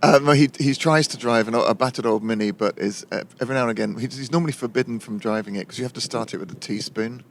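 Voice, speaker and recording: A man's voice: medium pitch, fast talker, few pauses, slight background noise. A man, a novelist chatting in an interview, digressive and full of restarts. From London. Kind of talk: casual interview conversation.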